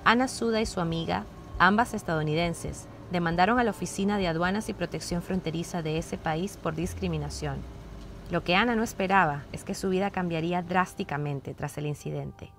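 Female news narrator, lower register, scripted read over audible background noise. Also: a little fast.

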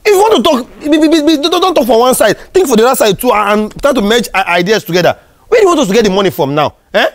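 A defiant resident, played by a man who argues back and stumbles over his words. He talks very fast in a very high voice, and the recording is dry and close. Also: big pitch swings, dry recording, exaggerated voice. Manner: argumentative, stammering comebacks. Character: defiant adult male resident, argumentative.

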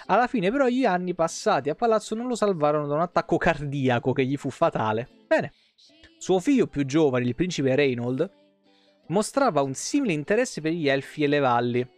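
A man in his thirties with a slightly high voice reads fantasy game lore aloud. His delivery is expressive, dropping into informal streamer asides. Soft plucked guitar music plays behind him. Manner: expressive reading, breaking off for informal comments. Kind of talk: reading game codex text aloud with casual asides. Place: small indoor room, streaming setup.

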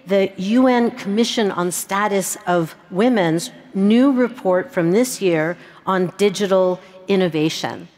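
A middle-aged woman moderating a conference panel on a large stage, measured and reflective, pausing on fillers, into a headset mic. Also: mid-range voice.

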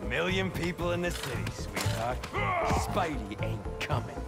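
A man's voice: mid-range voice, lively intonation, moderate pace, loud music behind the voice, natural voice. An actor playing a menacing, sneering street thug in a video game, taunting his victim. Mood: menacing, mocking.

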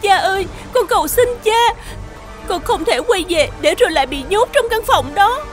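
An actress playing a devoted young runaway daughter in a cartoon folk tale, speaking with pleading emotion. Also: female voice, extremely high pitch, animated, moderate pace, faint music behind.

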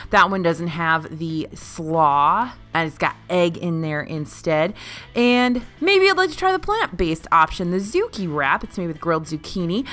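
Lively, bright-voiced woman reviewing food. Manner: animated, wry and opinionated, jumps between high and low pitch. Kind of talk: chatty review narration. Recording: faint music behind.

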